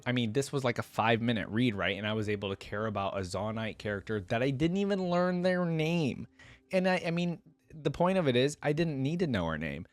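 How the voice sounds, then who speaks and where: A man's voice: mid-range voice, no echo. Casual, enthusiastic man with a dry, close sound. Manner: enthusiastic, informal, thinking out loud. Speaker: reaction video host. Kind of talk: casual reaction commentary with some reading aloud.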